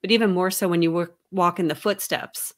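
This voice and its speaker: A woman's voice: lowish voice, steady tone. A middle-aged woman co-hosting an interview over a video call, chatty and thoughtful. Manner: chatty, thoughtful, quick asides. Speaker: podcast co-host. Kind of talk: casual interview chat.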